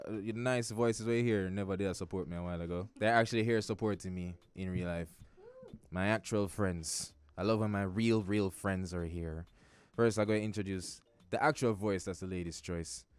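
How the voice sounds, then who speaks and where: Man, Jamaican accent, radio host, studio, joking, lively, slangy. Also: on the low side, clear, resonant tone. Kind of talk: casual radio talk show chat.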